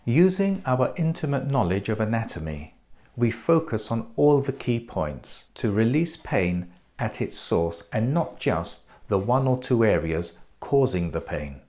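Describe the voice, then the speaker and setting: A man in his forties presenting a clinic's treatment in a measured, explanatory scripted voice, recorded on a lapel mic in a bright treatment room. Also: medium pitch, sing-song. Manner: measured, explanatory, pointing things out.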